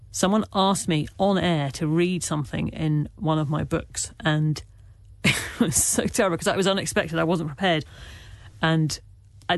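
Guy from wales who stammers, kinda gravelly, tells stuff earnestly, dead dry sound. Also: a little high, no echo. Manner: stammerer, halting with restarts; reflective, earnest storytelling. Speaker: author being interviewed. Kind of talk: candid conversational interview.

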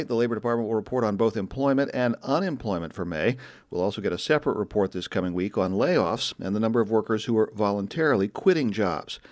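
A male correspondent reports on the economy in a measured tone. His voice is fairly low. The recording is close and dry. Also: no echo. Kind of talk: news report.

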